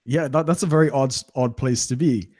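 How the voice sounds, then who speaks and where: A man's voice: mid-range voice, fairly quick, darkish tone, no echo. A man in his thirties hosting an interview from a home studio mic, asking long, rambling friendly questions. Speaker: host. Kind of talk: casual interview. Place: small room at home. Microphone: studio mic on boom arm, headphones.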